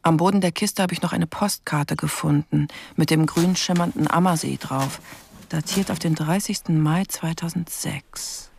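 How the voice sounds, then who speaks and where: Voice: medium pitch, moderate pace, gravelly, slight background noise, close to an everyday voice. An actor playing a terse investigator in a radio crime drama, listing evidence in clipped phrases and musing aloud in questions. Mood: matter-of-fact. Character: terse, probing investigator going through a dead man's things. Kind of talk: radio crime drama, first-person narration.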